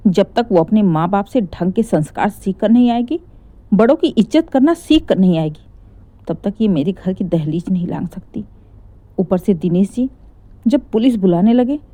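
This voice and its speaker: A woman's voice: mid-range voice, mellow, dry recording. A woman narrating a family moral story in a conversational, dramatic storytelling manner.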